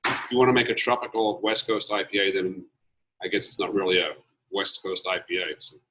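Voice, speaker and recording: A man's voice: low-pitched, brisk, pushed and bright, a little hiss or hum. An Australian-born man with a mild, Americanized Australian accent, a brewmaster answering casually and bluntly with many ums. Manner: easygoing, opinionated, many ums. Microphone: video call.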